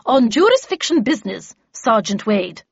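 A woman voicing an imperious, brisk older lady mentor in an audiobook, with clipped, commanding and pompous delivery. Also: fairly high pitch, animated, slightly rough, no echo, highs cut off. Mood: vexed, commanding. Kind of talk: audiobook character dialogue.

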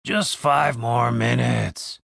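Brief: man playing sleepy bearded holy man, gravelly, slow, husky. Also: medium pitch, steady tone, fairly bright tone, dry recording, clean studio sound, exaggerated voice. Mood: groggy. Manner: slow, mumbling. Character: groggy bearded man cleric, half asleep.

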